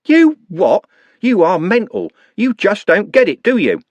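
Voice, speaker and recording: A man voicing an angry young man, shouting scornfully. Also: sits high, very animated, quick pace, no echo, dull audio, voice pushed up. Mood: furious. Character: young man, furious street lad.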